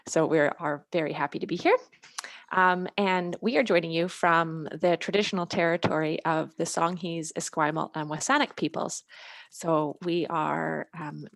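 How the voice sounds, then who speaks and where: Low, creaky-voiced woman presenting. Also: no room sound. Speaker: presenter, ocean education coordinator. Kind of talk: informal online presentation. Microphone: video call.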